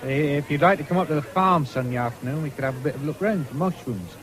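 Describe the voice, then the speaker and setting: An actor playing a cheery, forward young farm lad with a rural English accent in a radio drama. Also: male voice, fairly high pitch, lively intonation, fast talker, crowd noise, lightly acted, rough recording. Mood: friendly, courting. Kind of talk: 1970s radio drama acting.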